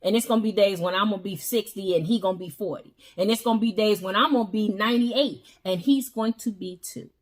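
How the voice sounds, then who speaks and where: A woman co-hosting a casual talk show, earnestly giving relationship advice in a relaxed style. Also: medium pitch, fast talker, hardly any pauses. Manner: earnest, advising, emphatic. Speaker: co-host. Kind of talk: casual chat about relationships.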